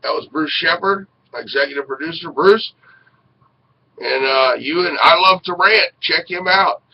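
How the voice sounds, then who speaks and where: A man's voice: a little high, moderate pace, recorded from a distance, lo-fi sound. A middle-aged man hosting an informal web show in an enthusiastic, chummy way. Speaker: radio show host. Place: small indoor room with posters. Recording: noticeably noisy home webcam audio.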